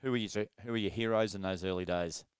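A man's voice: low-pitched, smooth, dry recording, studio quality. A man hosting a podcast and interviewing a guest in a chatty, enthusiastic way. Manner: chatty, enthusiastic, quick exclamations. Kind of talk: friendly interview.

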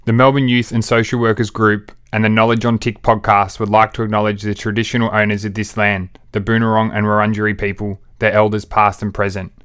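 A man co-hosts a casual podcast with a somewhat low voice. He talks quickly and sounds easygoing, welcoming guests warmly. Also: level tone, clear, resonant tone. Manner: quick, easygoing, reads a formal opening. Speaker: podcast co-host.

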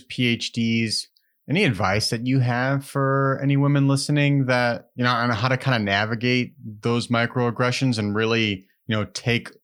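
A man hosting a podcast interview, asking questions in a relaxed way. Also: mid-range voice. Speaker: podcast host. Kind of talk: interview and sponsor read.

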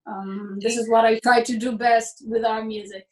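A young woman from Israel, a musician, answering interview questions in a thoughtful, halting way, often restarting her phrases. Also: fairly high pitch, level tone, poor audio. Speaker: musician guest.

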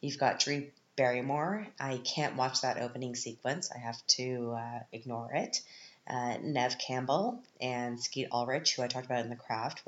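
A woman's voice: rumbling low, a bit bright. A woman in her thirties with a stuffed-up, scratchy voice, chatting casually and rambling as a podcast host at home. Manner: chatty and rambling, with frequent laughs. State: stuffed up, congested. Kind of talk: casual chat. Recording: roomy, dull lo-fi sound.

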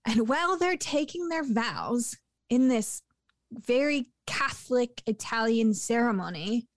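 A woman's voice: a little high, expressive, a little slow, no room sound. Chatty woman, dry close sound. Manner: chatty, laughs often, many fillers. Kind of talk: casual movie review chat.

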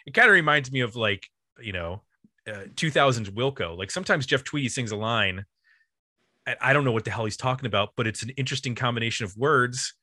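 Bright, dry-sounding man chatting casually. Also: mid-range voice, brisk, no room sound. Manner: informal, rambling, thinking aloud. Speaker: podcast host. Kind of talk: casual music chat.

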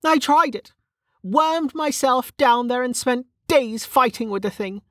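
A high, biting voice for a hot-tempered audiobook character, a woman playing a weary, bitter woman who snaps and sneers. Her pitch moves in lively swoops, and the recording is dry and close. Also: a little slow, dry recording. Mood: bitter, exasperated. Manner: snappish, scornful.

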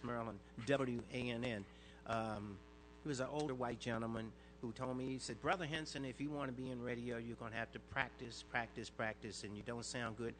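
A man's voice: a bit bright, slight background noise. Older man, veteran radio voice. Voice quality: fairly high, light voice. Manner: inflects words, talks in quick bursts with restarts. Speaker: radio disc jockey guest. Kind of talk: interview answers, reminiscing.

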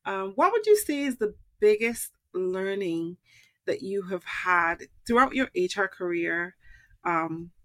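A woman's voice: medium pitch, expressive, takes it slowly, fairly bright tone, dry recording. A woman hosting a podcast, welcoming a guest and asking questions in an upbeat, affirming way. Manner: upbeat, affirming with frequent backchannels. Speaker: podcast host. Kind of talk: scripted show intro and interview.

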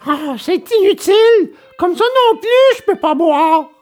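A woman's voice: very high-pitched, expressive, unhurried, a little mellow, soft background music. A woman playing a stubborn, childlike wooden puppet boy in an audio storybook, whining and exclaiming dramatically. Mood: whiny, stubborn.

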